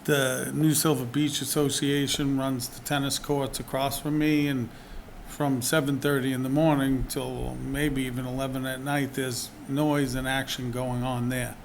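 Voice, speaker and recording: A man speaks from a podium microphone in a town meeting hall, earnest and somewhat defensive as he answers the board. His voice sits at an ordinary, mid-range pitch. A faint hum of the room stays under his words. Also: level tone, measured pace, vocal fry. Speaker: business owner applying for a permit.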